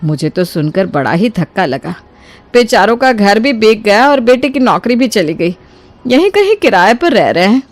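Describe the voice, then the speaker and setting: A woman playing a kind, generous older mother-in-law in an acted moral story, speaking in an expressive, colloquial, motherly way. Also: medium pitch, moderate pace, no room sound, soft background music, lightly acted. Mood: concerned.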